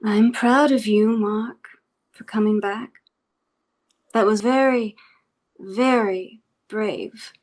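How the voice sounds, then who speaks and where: A woman acting as an eerily composed, menacing interrogator in a script table read, speaking formally and deliberately. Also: medium pitch, fairly even intonation, a little mellow. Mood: eerily calm. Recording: music playing behind the voice.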